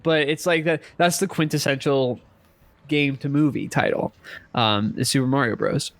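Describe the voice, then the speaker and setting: A man's voice: mid-range voice, steady tone, moderate pace, dry recording. A man co-hosting a podcast, chatting casually about games in an informal, conversational way. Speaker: podcast co-host. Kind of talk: casual gaming chat.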